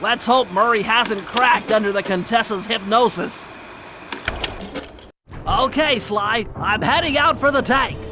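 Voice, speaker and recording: A man's voice: high-pitched, measured pace, background noise. A man voicing a brainy, cautious turtle tech expert in a cartoon video game, nasal, precise, wordy and earnest. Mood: confident briefing, then focused. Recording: music behind the voice.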